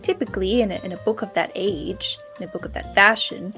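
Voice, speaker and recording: A woman's voice: mid-range voice, a little fast, bright, forceful tone, soft background music. Woman playing a stammering, courteous male scholar. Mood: quietly sceptical. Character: polite, nervous, chatty male scholar of arcane languages.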